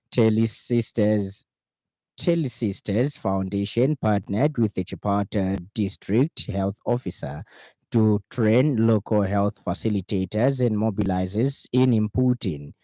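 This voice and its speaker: Man, Zambian accent, low-pitched, dry close studio sound, formal news presenter, measured scripted reading. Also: warm tone, no room sound. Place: radio studio.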